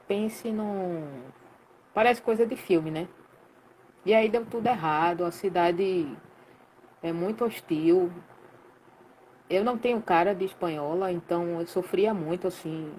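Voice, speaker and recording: A woman in her late thirties from Recife with a Pernambuco accent, telling a story in a lively, colloquial way full of slang during a casual live chat. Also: lowish voice, a little hiss or hum, low-quality recording. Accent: Pernambuco Portuguese. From Recife, Brazil. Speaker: guest, sound professional.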